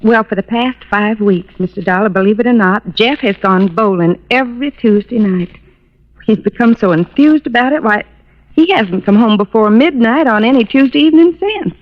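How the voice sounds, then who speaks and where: A woman's voice: a little high, lively intonation, moderate pace, close to an everyday voice. A woman playing a sweet, fragile young wife, worried and grieving, confiding earnestly and hesitantly in an old-time radio drama. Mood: anxiously hopeful. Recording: muffled vintage radio recording.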